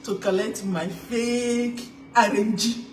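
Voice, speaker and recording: A Yoruba-speaking woman from Nigeria with a Nigerian accent, answering interview questions in a soft, easygoing way. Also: on the high side, steady tone, slow, a little hiss or hum. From Nigeria, Ogun State. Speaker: church member interviewed. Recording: echoey and distant with a loud crowd.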